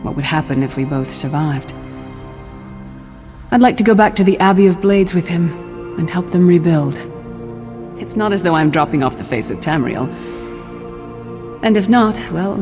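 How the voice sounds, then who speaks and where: A woman playing a weary, tough, wry warrior in a video game, talking in a plain, subdued way. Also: low-pitched. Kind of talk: video game character acting. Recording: long hall reverb, clear music behind.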